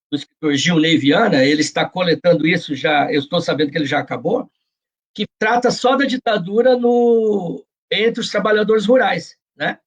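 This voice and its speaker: Brazilian guy being interviewed, pretty high husky voice, earnest, explains things, checks you follow. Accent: Brazilian Portuguese. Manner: earnest, explanatory, often seeking agreement with short tags. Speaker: interview guest, journalist and author. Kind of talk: reflective interview conversation.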